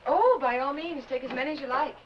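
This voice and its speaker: A woman playing a gentle, anxious wife caring for an ill husband, kindly and motherly, in an old-time dramatic style. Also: high-pitched, quick pace, a little hiss or hum, lightly acted. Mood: kindly, cheerful. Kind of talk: old-time dramatic acting with confiding narration. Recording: dull vintage recording, music underneath.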